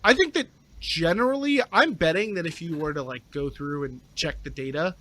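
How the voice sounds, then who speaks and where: A man's voice: fairly high pitch, lively intonation, normal speed, a bit bright, low-quality recording. A man talking casually as a podcast panelist, explaining his opinions and acting out hypotheticals.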